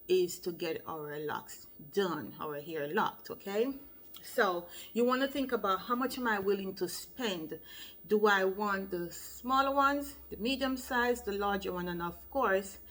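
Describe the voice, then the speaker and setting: A woman's voice: on the low side, a bit bright. A woman with a Jamaican accent, a loctician talking casually to camera and explaining things step by step, often checking in with "okay?". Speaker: loctician and channel host. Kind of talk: casual advice vlog to camera.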